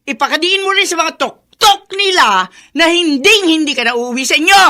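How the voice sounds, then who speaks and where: A hostile, bossy aunt from a radio drama. She taunts and confronts in a high, forceful, cutting voice. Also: animated, moderate pace, exaggerated voice. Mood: hostile, commanding. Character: hostile, domineering aunt guarding her house.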